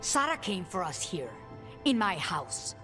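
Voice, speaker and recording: Deep, commanding vengeful woman. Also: very animated, measured pace, long reverb tail, over music.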